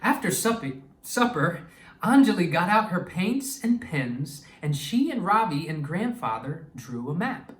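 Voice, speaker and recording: A man reading a children's story aloud expressively and pausing to explain things to young listeners. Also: sits high, very animated. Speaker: children's storytime reader and educator. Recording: echoey room, distant microphone.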